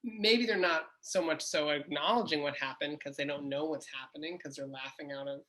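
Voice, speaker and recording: Woman host asking questions, stutters openly, kinda bright sharp voice. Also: low voice, moderate pace, low-quality recording. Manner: stutters openly with sound repetitions. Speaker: host, speech therapist. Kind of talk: interview questions.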